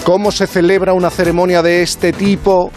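A man's voice: sits high, level tone, moderate pace, a little mellow, faint music behind. A man from southern Spain hosting a radio show, chatting casually and laughing often with his guests. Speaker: radio host.

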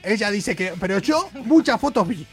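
A man with an Argentine accent co-hosts a casual podcast, teasing his guests and joking as he rambles through his questions. His voice sits high for a man. Faint music plays underneath. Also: lively intonation, moderate pace. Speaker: podcast co-host.

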